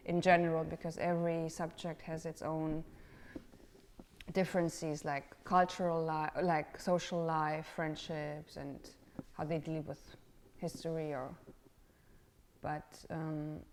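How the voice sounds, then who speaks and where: Hesitant woman, low slow voice. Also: level tone, a little mellow, slight background noise. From Romania. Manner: hesitant, searching for words with many fillers. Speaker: interviewee, artist in residence. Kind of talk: casual interview answers.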